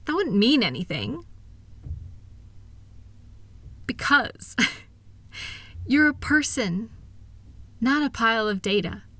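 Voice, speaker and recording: Bright, close-up voice of a reassuring wife, acted by a woman. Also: medium pitch, dry recording. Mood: earnest, loving. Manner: gentle, earnest, encouraging. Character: kind, reassuring wife comforting her struggling partner. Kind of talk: intimate scripted roleplay audio, one-sided conversation.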